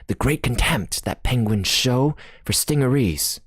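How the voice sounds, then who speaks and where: A man's voice: medium pitch, animated, measured pace, slightly rough, no room sound, studio quality, voice pushed up. A man voicing a jaunty, boastful storybook penguin sailor, theatrically singing comic sea songs.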